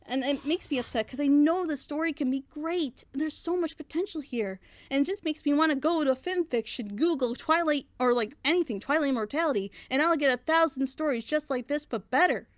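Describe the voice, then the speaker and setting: A woman's voice: high-pitched, fairly quick, few pauses, no echo. A woman giving an opinionated, casual review in an emphatic, critical manner. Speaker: reaction show panelist.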